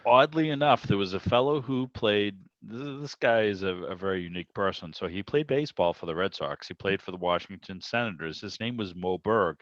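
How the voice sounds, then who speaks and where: A man's voice: fairly low pitch, a little fast, few pauses, a bit bright. A man chatting casually in a rambling, anecdotal way on a video call. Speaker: radio show producer and interviewer. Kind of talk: casual hobby club chat. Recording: dull, roomy video-call audio.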